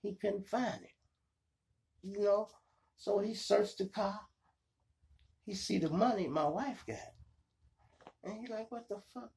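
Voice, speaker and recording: A man with a Chicago accent and a gravelly voice, telling street stories casually to his viewers in slangy, profane talk. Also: high voice, slow pace, long pauses. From Chicago, United States. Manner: animated retelling, slangy, profane, acts out dialogue and sound effects. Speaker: storytelling podcast host.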